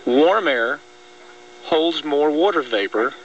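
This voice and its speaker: A man's voice: a little high, animated, slow pace, slight background noise. An American man talking opinionated politics on the radio in a blunt, exasperated, emphatic way. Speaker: talk radio host and trial lawyer. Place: radio broadcast. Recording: dull lo-fi radio, distant.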